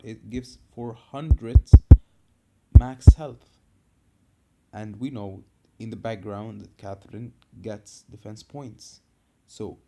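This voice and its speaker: A slow-talking Egyptian man explains a hero build for a mobile game, stumbling over words and restarting often. His voice sits at an everyday middle pitch, and he gets loud and emphatic on key points. A clear hiss of background noise and clicks runs under the home recording. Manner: hesitant, many fillers and restarts, loud bursts when stressing points. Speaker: gamer making a fan video. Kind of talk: casual game commentary.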